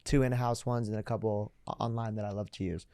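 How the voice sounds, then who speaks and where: Mellow-voiced male interviewer. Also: fairly low pitch, fairly even intonation, quick pace, dry recording. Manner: friendly, rambling questions with many "like"s. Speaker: interviewer host. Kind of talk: casual interview.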